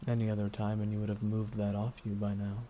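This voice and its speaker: A man acting a weary, regretful supervillain in an ASMR audio roleplay, speaking slowly and reflectively with pauses. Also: fairly low pitch, completely flat delivery, warm tone, a little hiss or hum, natural voice. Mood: somber, wry. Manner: slow, reflective, pausing delivery. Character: weary, regretful male supervillain beside his dying nemesis.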